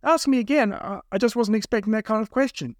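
A man's voice: high-pitched, fast, dry recording, studio quality, pitched above the performer's own voice, lightly acted. A man playing a frightened male captive in an audiobook, urgent, defensive and prone to stammering. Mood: panicked. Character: frightened, pleading male captive traveller protesting his case. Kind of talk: audiobook character voice.

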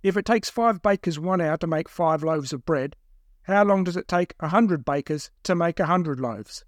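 A man's voice: on the high side, normal speed, no echo, clean studio sound, pitched above the performer's own voice, natural voice. A man voicing a big, jeering captor who fires off questions like a mocking quizmaster in an audiobook. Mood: taunting. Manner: taunting, mocking quizmaster delivery. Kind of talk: audiobook character voice.

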